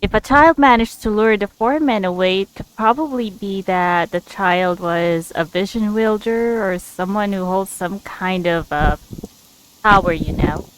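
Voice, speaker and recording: A woman's voice: medium pitch, measured pace, smooth, rough recording. A woman giving casual commentary as she plays a video game, musing aloud as she pieces things together.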